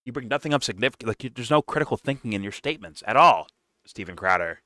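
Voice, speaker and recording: A man in his twenties hosting a casual reaction show, talking in an animated way, swearing and laughing often. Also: medium pitch, quick pace, no room sound, studio quality. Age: 20s.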